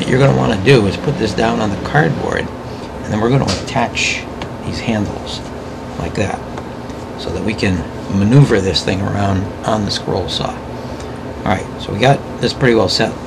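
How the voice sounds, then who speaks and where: A man giving an informal hands-on craft demonstration, explaining step by step in a halting, unscripted way. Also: fairly low pitch, gravelly. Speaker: craft instructor demonstrating scroll saw work. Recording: loud ambient hum and workshop noise.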